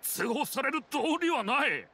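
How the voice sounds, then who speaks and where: An actor playing a blustering, threatening elderly swindler in a Japanese video game, rough-spoken and menacing. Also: male voice, very high voice, big pitch swings, a little slow, bright, forceful tone, slight background noise, full character voice. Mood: defensive.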